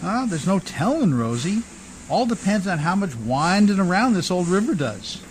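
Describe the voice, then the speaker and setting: An actor playing a rough-spoken, warm riverboat man in love, in old-time radio drama style with colloquial, hearty talk. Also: sits high, very animated, moderate pace, a little hiss or hum, rough recording. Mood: worried, resigned.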